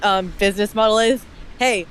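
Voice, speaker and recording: A man's voice: sits high, steady tone, moderate pace, a bit bright. A man talks casually in a joking way. His speech is conversational and informal. Faint noise is audible behind him. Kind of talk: casual chat.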